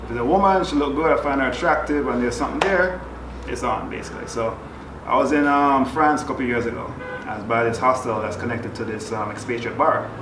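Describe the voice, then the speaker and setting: A man's voice: medium pitch, fast. A man from Jamaica, a guest in a group talk, telling a story casually and laughing easily. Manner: laid-back, laughing easily while telling stories. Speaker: panel guest. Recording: roomy sound, mic at a distance, background noise.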